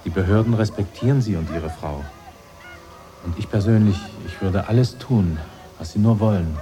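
An actor playing an anxious, dutiful husband in a radio drama, speaking earnestly and with urgency. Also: male voice, lowish voice, moderate pace, lightly acted. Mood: apologetic, pleading. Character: anxious, dutiful husband pleading for his wife.

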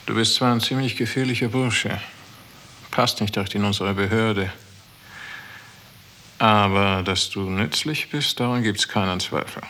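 An actor playing a cool, measured superior in a sinister agency in a 1960s German radio drama, terse and appraising. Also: male voice, fairly low pitch, pushed and bright. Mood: cool approval. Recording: faintly noisy 1960s radio recording.

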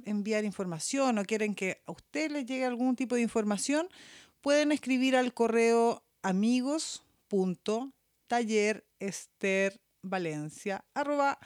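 A woman podcast host giving an informal announcement haltingly, carefully spelling out details. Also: a little high, a little mellow, dry recording, studio quality.

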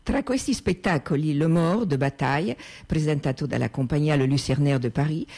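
A woman's voice: deep voice, normal speed, warm tone. An actress playing a woman fondly recalling theatre days in scripted radio narration. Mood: fond recollection. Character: reminiscing woman, a fond witness of theatre days. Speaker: stage performer narrating theatre memories. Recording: clear music bed behind the voice. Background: music.

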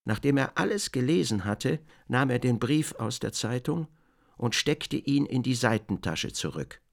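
A man narrating a literary radio drama from a script in a measured, deliberate manner. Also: mid-range voice, lively intonation, vocal fry.

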